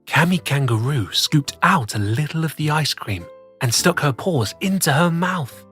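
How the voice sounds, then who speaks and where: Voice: mid-range voice, animated, unhurried, fairly bright tone, breathy edge, faint music behind. A children's story host presenting and reading a storybook expressively, in a playful way that speaks straight to young listeners.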